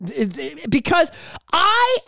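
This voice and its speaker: A young man co-hosting a casual podcast, telling animated stories, swearing freely and humming bits of songs. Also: very high-pitched, slow, creaky. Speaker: podcast co-host and musician.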